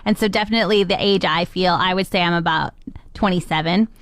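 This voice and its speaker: A woman's voice: on the low side, fast, dry recording. A woman in her thirties co-hosting a morning radio show, chatty and easygoing with frequent giggles. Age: 30s. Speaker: radio co-host. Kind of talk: casual morning radio chat.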